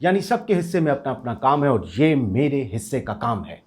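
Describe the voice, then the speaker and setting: A man's voice: mid-range voice, very animated, fairly quick, a little mellow, lightly acted. A man acting as a cocky, cynical street agitator in a satirical drama, with brash, boastful, slangy talk that turns to menace. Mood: defensive. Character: cocky, cynical street agitator paid to stir religious hatred. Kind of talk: scripted satirical dialogue in street Hindi.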